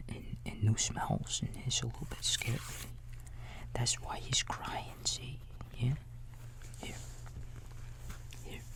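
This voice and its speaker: A man plays a babysitter reading a bedtime story in ASMR style. He whispers slowly with a crackly fry, soothing and informal, drifting into little asides for a child. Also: fairly low pitch. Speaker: ASMR roleplayer playing a babysitter.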